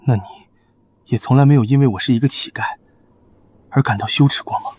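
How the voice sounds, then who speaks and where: An actor playing a mighty god disguised as a beggar husband, speaking in a calm, low and measured way in a costume drama. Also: male voice, slightly rough, natural voice. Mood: tender.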